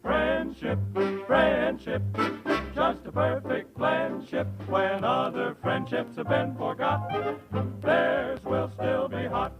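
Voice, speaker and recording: A woman's voice: sits high, slow. A woman singing an old-time radio comedy theme song, muffled by the recording. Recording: dull sound under loud swing music.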